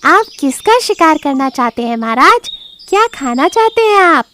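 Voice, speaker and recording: A woman voicing a sly, flattering fox in an animated moral story, with a wheedling sing-song delivery. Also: sits high, moderate pace, pitched above the performer's own voice, full character voice. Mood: eager, coaxing. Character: sly, flattering fox advisor to a lion king.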